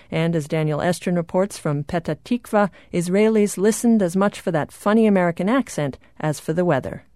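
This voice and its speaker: A woman anchor reading a scripted news introduction in a smooth, measured way. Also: fairly low pitch, dark tone, dry recording, clean studio sound.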